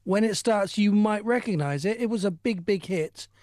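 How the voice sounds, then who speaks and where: A man's voice: high voice, normal speed, a little mellow, no room sound. Male radio co-presenter, dry close studio sound, upbeat.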